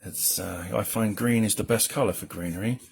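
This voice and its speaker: A man with a low, mumbling voice gives casual, rambling commentary while drawing on a livestream as a comic colorist, with self-deprecating asides. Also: fairly quick, gravelly, breathy edge.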